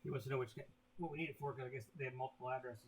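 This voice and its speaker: An American man with a mid-pitched voice talks quickly and informally as a client on a business call. His answers are short and casual, and there is clear noise on the line. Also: steady tone. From Indiana, United States. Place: video conference call. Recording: noisy conference call audio.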